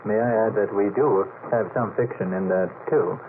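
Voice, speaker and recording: A man's voice: on the low side, moderate pace. A man taking part informally in a radio panel discussion as a magazine's representative. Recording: noisy, phone-like old radio broadcast.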